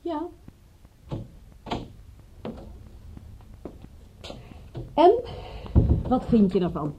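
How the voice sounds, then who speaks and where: An actress in a Dutch radio play voicing a detective's brisk, blunt and teasing female companion with a husky voice. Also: fairly high pitch, sing-song, over music. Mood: defensive teasing. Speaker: radio drama actor. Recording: muffled old radio recording.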